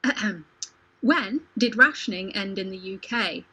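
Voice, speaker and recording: A woman's voice: medium pitch, a little slow, bright, forceful tone, slightly rough. A woman running a casual quiz on a livestream as quizmaster, playful and jokey. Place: home video call.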